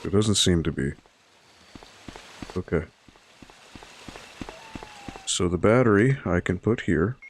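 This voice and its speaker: A man in his thirties hosting a library livestream, hoarse and raspy from bronchitis, chatting casually with viewers and explaining each step as he plays. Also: sits low, lively intonation, slow, pauses often, a little hiss or hum, poor audio. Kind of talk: casual gameplay commentary to a live chat.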